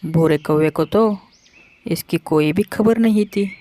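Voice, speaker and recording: A woman reading scripted narration for a children's animated story. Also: fairly low pitch, slow.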